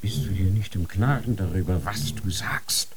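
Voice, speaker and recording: An actor playing a stern elderly grand inquisitor in a radio drama, grave and commanding. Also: male voice, fairly low pitch, moderate pace, background noise, natural voice.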